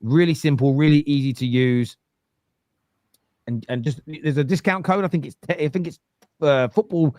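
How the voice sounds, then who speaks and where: A man's voice: on the high side, moderate pace, darkish tone, no room sound, studio quality. A man hosting a football podcast, reading an ad and asking questions in a jokey, loose and hesitant way. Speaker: podcast host. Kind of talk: casual football chat and ad read.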